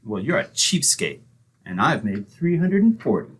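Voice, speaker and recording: A man's voice: medium pitch, big pitch swings, normal speed, breathy edge. A man acting a blunt, grudging Christmas tree seller in old-time radio comedy. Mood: trading insults. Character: curt, unbudging male Christmas tree seller.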